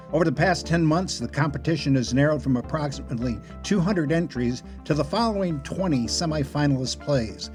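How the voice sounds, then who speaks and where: A man reading scripted announcements and stage directions as a narrator, in a formal, measured way. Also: on the high side, a little mellow.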